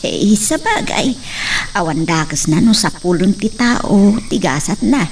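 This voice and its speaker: A woman's voice: mid-range voice, animated, measured pace. A middle-aged woman with an Ilocano accent playing an anxious provincial mother. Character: worried provincial mother of a grown son. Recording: distant mic with faint noise. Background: crickets and insects.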